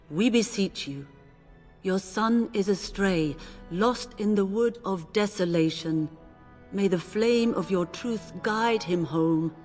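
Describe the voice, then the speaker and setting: A woman playing a devout, gently reproving priestess in a video game, speaking in a reverent, formal, measured manner. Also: fairly low pitch, close to an everyday voice. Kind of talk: video game cutscene acting. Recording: long reverb, music underneath.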